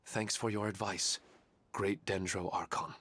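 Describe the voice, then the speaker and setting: Grieving, hesitant widower. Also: male voice, fairly low pitch, flat delivery, measured pace, bright, forceful tone, a little hiss or hum. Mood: sorrowful. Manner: sorrowful, hesitant, subdued.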